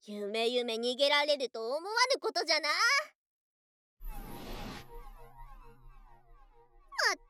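A woman's voice: sits high, brisk, sharp tone, clean tone, no echo, clean studio sound. A woman playing a doting, possessive lamia yokai wife in an ASMR voice drama, with haughty but affectionate archaic speech. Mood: pouting, insistent. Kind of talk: ASMR situation voice drama acting.

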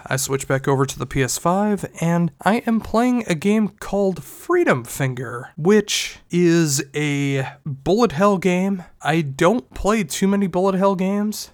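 A man's voice: medium pitch, dry recording. A man hosting a podcast, talking casually and rambling a little, with a stuffed-up, congested nose. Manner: rambling, conversational, with restarts. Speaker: podcast host. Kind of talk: casual solo podcast talk.

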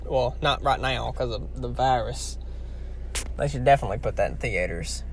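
A man's voice: mid-range voice, normal speed, slight background noise. A young man from Louisiana with a heavy Southern accent, chatting casually and rambling to his viewers. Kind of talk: casual talk to camera.

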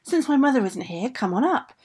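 A woman voicing a young ogress daughter who gives brisk instructions and drops into hushed asides. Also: high voice, sing-song, slightly rough, voice pushed up, heavily acted. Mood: urgent.

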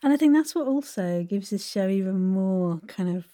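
A woman hosts a casual interview podcast. Her voice is mellow and medium in pitch, and she talks in a chatty, enthusiastic, giggly way. The recording is dry and free of echo. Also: animated, normal speed.

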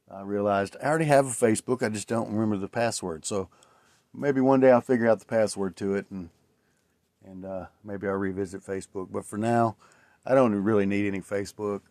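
A man in his late forties talks through his own solo podcast in a low voice. He rambles and reflects, with plenty of fillers and restarts. The sound is close and dry, with no room echo. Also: a little mellow. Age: 40s. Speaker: podcast host. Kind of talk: casual solo podcast monologue.

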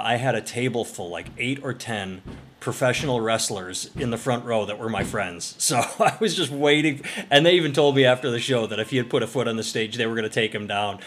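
A man's voice: medium pitch, a little hiss or hum. A man co-hosting a podcast, casually telling a profane, rambling story. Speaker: podcast co-host.

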